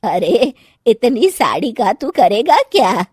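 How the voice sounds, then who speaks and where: A woman playing a blunt, mocking older mother-in-law in a cartoon story, with a husky voice. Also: a little high, big pitch swings, slow, darkish tone, no echo, clean studio sound, full character voice. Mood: mocking, suspicious. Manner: blunt, scoffing, exclamatory. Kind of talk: cartoon moral-story acting.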